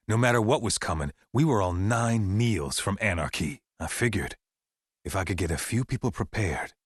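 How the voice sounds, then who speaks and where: A deep-voiced, preachy American survivalist leader. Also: male voice, fairly bright tone, no room sound, studio quality. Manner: folksy, preachy, deliberate, emphatic. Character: paranoid male survivalist militia leader broadcasting anti-government radio sermons. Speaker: pirate radio broadcaster and camp leader. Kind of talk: dramatic radio monologue.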